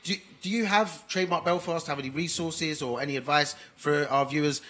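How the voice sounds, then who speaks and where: A man's voice: a little high, a little fast, fairly bright tone. A man from England hosting an online show at a festival, chatty and jokey as he asks his guest questions. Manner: chatty, jokey, hypes the audience. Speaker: show host. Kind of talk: casual interview hosting. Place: at a political festival.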